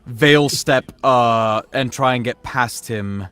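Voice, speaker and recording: A man's voice: medium pitch, slow pace, pushed and bright. A man playing a tabletop game, casually describing what his character does.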